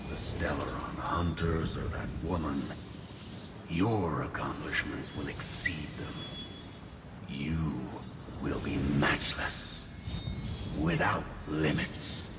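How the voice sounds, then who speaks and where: A man's voice: extremely low pitch, fairly even intonation, slow pace. A man playing a sinister spirit in a video game, coaxing a pupil in a grandiose way. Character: sinister possessing spirit, tempting sword master. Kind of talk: dramatic video game voice acting. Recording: distant voice under loud music.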